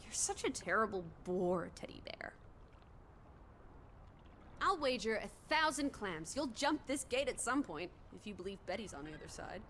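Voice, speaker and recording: A woman's voice: medium pitch, big pitch swings, normal speed, a bit bright, a little hiss or hum. An actress playing a playful, teasing young American woman from the 1920s in a video game, full of jaunty old-fashioned slang.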